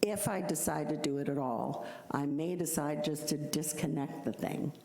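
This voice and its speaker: A middle-aged woman, an insurance agent, earnestly explaining her case at a city code hearing in a loud voice. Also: sits low, moderate pace, creaky. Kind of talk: public hearing testimony. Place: city hall hearing room. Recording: echoey hall from council mic.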